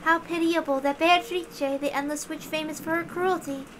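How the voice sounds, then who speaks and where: A woman's voice: sits high, fairly even intonation, normal speed, slight background noise, voice pushed up, natural voice. A woman voicing a formal, polite young female heavenly visitor with a stiff, solemn, ceremonious way of speaking.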